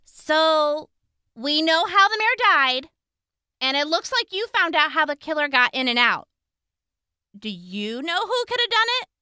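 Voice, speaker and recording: A woman's voice: high voice, very animated, measured pace, pushed and bright, dry recording, clean studio sound, heavily acted. A woman playing a brash, sarcastic wasteland character in a radio drama. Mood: brash, incredulous.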